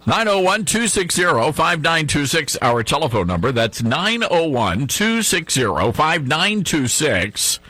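A man with a mild Tennessee twang hosting a talk radio show, chatting in an animated, folksy way. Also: a little high, a little slow, hardly any pauses, dry recording, soft background music. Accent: Southern US, Tennessee. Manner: animated, folksy, laughs easily. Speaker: radio host.

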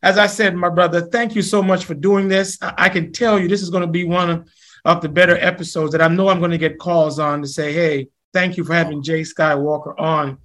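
A middle-aged man with a Jamaican accent hosting a podcast interview, chatty and quick to laugh. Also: a little high, steady tone. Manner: warm, laughs often, repeats phrases. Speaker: podcast host. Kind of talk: casual interview chat.

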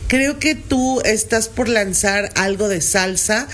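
Mid-pitched woman asking questions. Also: takes it slowly, fairly bright tone. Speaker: interview co-host. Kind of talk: conversational questioning.

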